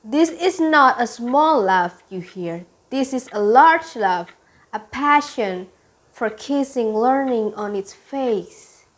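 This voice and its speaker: A young woman delivers a poem as a student performer. Her voice sits a little high, and she declaims slowly, with deliberate phrasing. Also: lively intonation. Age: young adult. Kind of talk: dramatic poetry recitation.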